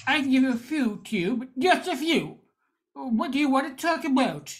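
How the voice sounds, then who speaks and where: High, halting, befuddled husband, played by a woman. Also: expressive. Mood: resigned. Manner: halting, stammering, drawn-out words. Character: befuddled, hesitant husband losing his brain cells. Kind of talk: radio play acting.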